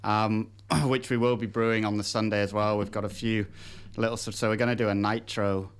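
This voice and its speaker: A man's voice: fairly low pitch, level tone, brisk, smooth. A man appearing as a guest on a radio show, explaining things in a laid-back way with many fillers. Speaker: coffee roaster guest. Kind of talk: casual radio interview.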